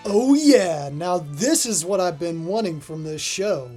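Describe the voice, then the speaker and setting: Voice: mid-range voice, lively intonation, a little slow, over music. A host giving casual, scripted review commentary as a voice-over, with dry, offhand asides. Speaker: host of a video ranking cartoon episodes. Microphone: voice-over recording.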